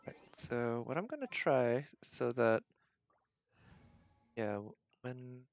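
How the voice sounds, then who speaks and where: A man's voice: mid-range voice, very animated, slow pace, no room sound, dull audio. Casual male game streamer thinking aloud. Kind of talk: casual live gameplay commentary.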